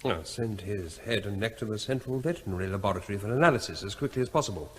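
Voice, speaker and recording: An actor playing a brisk, matter-of-fact government vet in an old radio drama. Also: male voice, fairly low pitch, animated, close to an everyday voice. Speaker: regional veterinary officer. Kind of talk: 1970s radio drama acting. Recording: long reverb.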